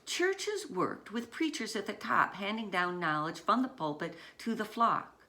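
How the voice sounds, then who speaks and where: A woman author reading her children's picture book aloud in deliberate short phrases, with dramatic emphasis on key words. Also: medium pitch, animated, sharp tone.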